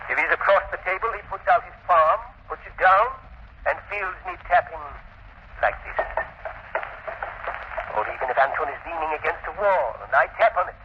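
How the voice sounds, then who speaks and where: A high-pitched, scheming old professor acted by a man in a 1930s radio play, full of grand menace and cool condescension. The old recording is dull and hissy with noise. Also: normal speed, lightly acted. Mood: boastful. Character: mad, vengeful, scheming old male professor. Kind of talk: 1930s radio drama acting.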